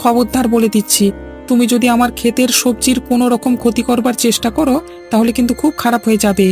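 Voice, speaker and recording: A woman acting as a feisty cartoon village farmer woman who rattles off her words with a gravelly creak. She is sharp-tongued and stands her ground, stressing her points like a born scolder. Music plays underneath. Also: fairly high pitch, steady tone, fast. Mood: defiant, indignant. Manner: scolding, argumentative, emphatic. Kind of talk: Bengali cartoon dialogue.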